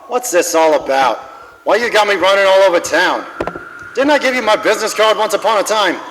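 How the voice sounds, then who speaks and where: An actor playing a world-weary, wisecracking private detective in a noir radio drama, tough, slangy and wry. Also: male voice, high voice, lively intonation, a little fast, a bit bright, slight background noise. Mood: guarded. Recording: long hall reverb, music behind.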